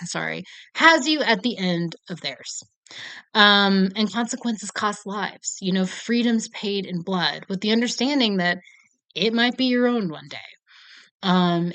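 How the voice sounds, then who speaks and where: A woman's voice: mid-range voice, a bit bright, no echo. Young American woman, film reviewer; chatty and earnest, filler-heavy, dry booth sound. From United States. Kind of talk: casual film commentary.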